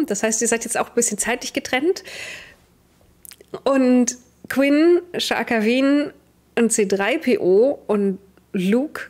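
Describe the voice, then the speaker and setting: A woman's voice: a little high, a little mellow. A young adult woman, recapping a tabletop role-playing game to her players as game master on a video call.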